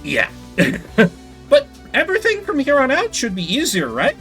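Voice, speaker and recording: Young male streamer, swearing, self-deprecating, lively pitch, game music behind. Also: unhurried. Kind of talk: blind let's-play commentary.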